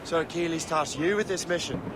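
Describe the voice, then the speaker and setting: A man's voice: fairly high pitch, brisk, close to an everyday voice. A man playing an eager, questioning young assassin recruit in a video game cutscene, speaking casually. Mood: questioning, unsure. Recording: outdoor sea ambience, clearly noisy.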